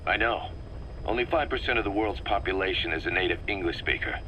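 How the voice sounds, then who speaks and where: A man playing an analytical, wary military second-in-command in a video game, explaining things in a measured, methodical way. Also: sits low, bright, forceful tone, a little hiss or hum, low-quality recording. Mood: puzzled, serious. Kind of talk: scripted video game cutscene dialogue.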